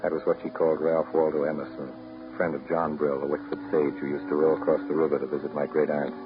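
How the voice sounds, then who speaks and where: Low-voiced, brooding storyteller. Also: male voice, flat delivery, fast, over music. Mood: brooding reminiscence. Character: reserved, brooding New England man telling family memories. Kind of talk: 1930s radio drama acting and narration. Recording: muffled, hissy 1930s radio recording.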